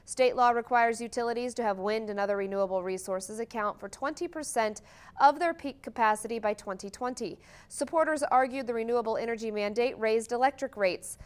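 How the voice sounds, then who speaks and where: A woman's voice: fairly high pitch, clear, resonant tone. An American woman anchoring a morning newscast, reading scripted news in a TV studio.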